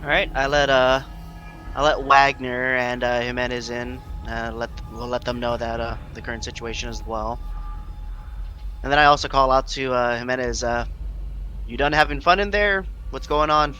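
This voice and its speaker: A man's voice: medium pitch, level tone, normal speed, pushed and bright, muffled recording, soft background music. A man playing a tabletop role-playing game, casually describing his character's actions and speaking in character.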